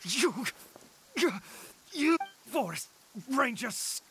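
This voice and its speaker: An anguished, fanatical father from a video game. His voice sits high for a man and swings widely, yet he speaks slowly and haltingly, with frantic dramatic urgency. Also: sing-song, pushed and bright, slight background noise, exaggerated voice. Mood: tense, menacing.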